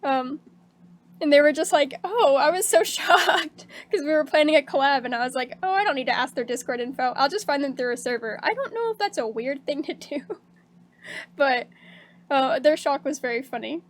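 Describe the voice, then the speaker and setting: A woman streaming casually, chatting with viewers and laughing often, with a slightly hoarse voice. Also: sits high, expressive, normal speed. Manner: bubbly and chatty, laughs often, many fillers like "um" and "like". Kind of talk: casual stream chat reading out viewer messages.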